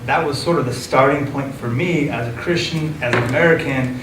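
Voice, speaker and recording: A man hosting an interview in a relaxed way, with frequent uhs. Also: mid-range voice, a little fast. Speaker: interview host. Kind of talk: conversational interview. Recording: roomy, from a distance, background noise.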